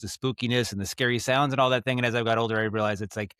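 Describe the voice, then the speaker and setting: A man's voice: medium pitch, fairly even intonation, very fast, a bit bright, clean tone, no echo, clean studio sound. An American man chatting casually as an interview guest, thinking aloud in a rambling, thoughtful way and repeating words as he goes. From Maine, United States. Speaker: podcast guest, audio drama writer and director.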